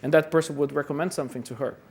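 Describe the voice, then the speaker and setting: A man's voice: fairly high pitch, fairly even intonation, very fast, darkish tone. A man from Brazil giving a chatty, self-deprecating conference talk, often repeating words as he goes. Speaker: conference speaker. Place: conference hall.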